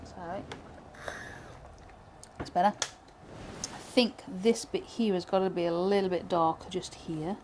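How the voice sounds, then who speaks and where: A woman from Britain, teaching pyrography live, chatting and thinking aloud with many fillers while she works, her voice going a little hoarse. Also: on the low side, fairly even intonation, slow, a little mellow, slight background noise, rough recording. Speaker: pyrography instructor. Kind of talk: live tutorial chat while working.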